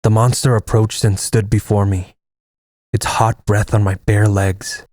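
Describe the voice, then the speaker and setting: A man playing a stoic, fatalistic Navajo army air force lieutenant in an audio comic, solemn and measured. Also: on the low side, flat delivery, darkish tone, no room sound, clean studio sound, natural voice. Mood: resigned, calm. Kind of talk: dramatic first-person narration and dialogue in an audio comic.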